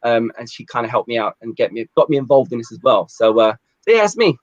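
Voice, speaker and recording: A man's voice: mid-range voice, sing-song, quick pace, no room sound, dull audio. A man from London, a guest on a video call, talking casually with many hesitations. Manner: hesitant, lots of ums and kind ofs.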